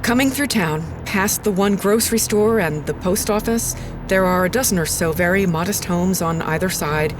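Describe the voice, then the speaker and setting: An actress playing an uneasy female radio presenter, narrating an eerie investigation in a measured, deliberate way. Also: lowish voice, background noise, lightly acted. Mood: foreboding, measured. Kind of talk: scripted first-person narration.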